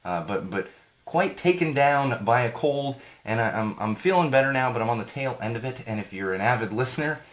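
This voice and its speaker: A man hosting a live podcast in a casual, ranting way, hoarse and congested from a cold, often repeating himself as he talks straight to his viewers. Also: medium pitch, animated, a little fast. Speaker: podcast host.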